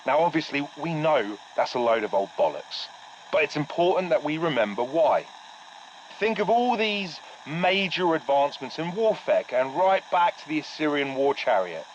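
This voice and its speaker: Over a dull, faintly noisy radio channel comes a military motor-pool boss from England in a game audio log. He has an English accent and a fairly high voice. He rallies his crew with blunt, proud slang. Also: big pitch swings, normal speed. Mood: proud, rousing.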